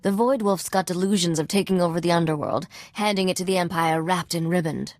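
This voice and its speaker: An actress playing a sharp, cautious female starship crewmate in a video game, earnest and sardonic. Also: on the low side, a little fast, no room sound, lightly acted. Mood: serious warning. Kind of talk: video game cutscene acting.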